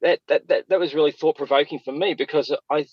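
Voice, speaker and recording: A man's voice: a little high, fairly even intonation, fast talker, slightly rough, no echo. A man co-hosting a podcast in casual chat, rambling hesitantly and circling back over his words, on a slightly dull recording. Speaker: podcast co-host.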